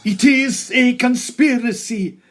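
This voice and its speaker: An actor playing a pompous, paranoid lead tenor in an audio farce, declaiming with indignation. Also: male voice, very high-pitched, takes it slowly, faint music behind. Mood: indignant. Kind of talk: farcical audio drama acting.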